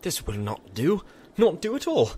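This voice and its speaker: A man's voice: fairly high pitch, huge pitch swings, unhurried, dark tone, slight background noise. A man playing a haughty, pampered young half-elf nobleman in a fantasy audio drama, pompous and indignant.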